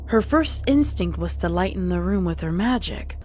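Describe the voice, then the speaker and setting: A woman's voice: on the low side, expressive, moderate pace, a little mellow, slight background noise. A woman reading scripted, dramatic story narration as a narrator.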